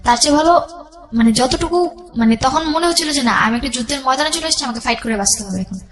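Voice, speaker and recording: A young woman telling a personal life story as a guest, haltingly, repeating herself and mixing in English words. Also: sits high, fairly bright tone.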